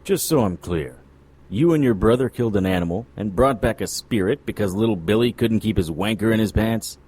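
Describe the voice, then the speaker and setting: A man playing a jaded, sardonic occult investigator, dry and deadpan, in a noir audio drama. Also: lowish voice, a little fast, husky. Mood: needling.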